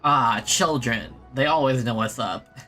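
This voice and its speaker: A woman's voice: rumbling low, unhurried, a bit bright, faint music behind. A woman giving casual live commentary as she plays a game, with short reactions and easy laughter. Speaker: gaming streamer.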